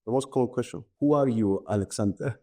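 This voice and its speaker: A man's voice: mid-range voice, rapid-fire, warm tone. Informal male podcast host. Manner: informal, repeats words while phrasing questions. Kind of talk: casual interview questions.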